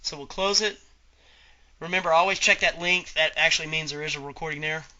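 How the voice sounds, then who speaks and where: A man giving an informal step-by-step software tutorial as an instructor, talking through each click aloud. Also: fairly high pitch, fairly quick, lo-fi sound. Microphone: voice-over on a screen recording.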